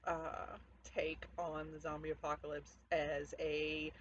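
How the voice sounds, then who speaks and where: A woman's voice: low voice, monotone, slow pace. A woman author speaking informally as she presents her own fiction from home. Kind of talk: casual introduction to a home story reading. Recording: dull lo-fi audio, noticeable background noise.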